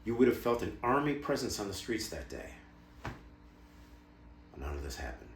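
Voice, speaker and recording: A man performing a dramatic monologue as a guarded, cynical ex-military intelligence insider, confiding secrets in measured, emphatic, clipped phrases. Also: low-pitched, animated, a little hiss or hum. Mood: indignant, emphatic. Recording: roomy, echoing room sound.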